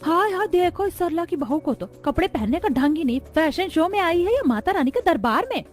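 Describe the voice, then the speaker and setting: A woman's voice: very high-pitched, animated, fairly quick. A woman voicing a disapproving, scolding older mother in a cartoon story. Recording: music bed under voice.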